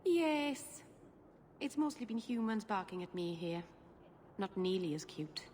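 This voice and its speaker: A woman's voice: mid-range voice, expressive, a little slow, a little mellow, echoey room, a little hiss or hum, natural voice. A woman voicing a sweet, naive young woman in a video game, chatty and innocently apologetic. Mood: wistful, chatty.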